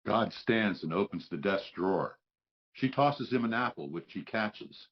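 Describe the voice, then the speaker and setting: A man's voice: on the low side, animated, measured pace, muffled recording. A man reading stage directions as the narrator of a script, in scripted narration style.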